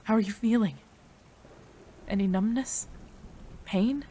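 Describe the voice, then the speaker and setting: A woman performs a female mad scientist in an intimate audio drama, devoted and desperate. She speaks slowly at a medium pitch, full of feeling for the one she loves. Rain patters faintly behind her voice. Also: dark tone, a little hiss or hum, natural voice. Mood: caring, worried. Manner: emotional, breathy sighs, addresses a beloved tenderly.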